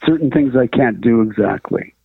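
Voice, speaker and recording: A man near seventy, a guitarist guest in a casual interview, relaxed and self-deprecating, often laughing. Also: medium pitch, moderate pace, creaky, husky, no echo. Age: older. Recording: tinny phone line.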